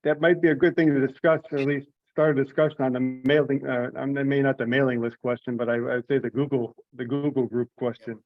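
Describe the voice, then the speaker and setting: A man's voice: mid-range voice, flat delivery, fast talker, few pauses, no room sound. A man casually leading a meeting discussion on a conference call. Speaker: meeting leader taking notes. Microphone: remote teleconference call. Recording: dull, dry conference call audio.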